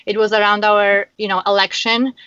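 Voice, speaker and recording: A woman's voice: medium pitch, level tone, normal speed, fairly bright tone, smooth, no room sound. A middle-aged woman from Poland with a Polish accent, talking earnestly in an interview, with many fillers and restarts. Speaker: guest, founder. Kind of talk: casual interview chat. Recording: dull, dry sound.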